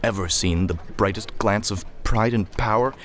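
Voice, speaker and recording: A man's voice: on the low side, moderate pace, fairly bright tone, lightly acted. A man playing a brooding, self-doubting actor and conspirator in a student film drama, intense and defensive. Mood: brooding recitation. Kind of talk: student film drama acting, reciting verse.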